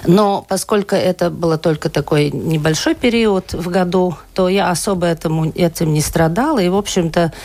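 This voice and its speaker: A woman from Latvia speaks as an interview guest in a slightly low voice. She recounts personal experience in unscripted speech, hesitating and restarting as she searches for words. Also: moderate pace, hardly any pauses, a little mellow. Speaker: interview guest, asthma and allergy patient. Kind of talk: personal storytelling in a radio interview.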